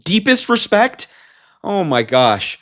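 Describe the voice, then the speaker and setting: A man's voice: high voice, sing-song, takes it slowly, a bit bright. A man hosting a political commentary show, reading out reports and reacting with emphatic, indignant disbelief. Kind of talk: reading a transcript aloud with opinionated commentary.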